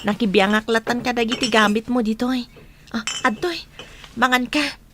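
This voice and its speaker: An actress with an Ilocano accent playing a caring, gently coaxing village friend in a radio drama. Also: female voice, fairly high pitch, moderate pace, faint music behind, lightly acted. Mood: gentle, concerned. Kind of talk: Filipino radio drama acting. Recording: noisy track with background ambience.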